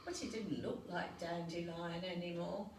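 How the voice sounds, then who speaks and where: A woman reading a picture book aloud to young children as a storyteller, unhurried, expressive and gently dramatic. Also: lowish voice. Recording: roomy, noisy recording, distant mic.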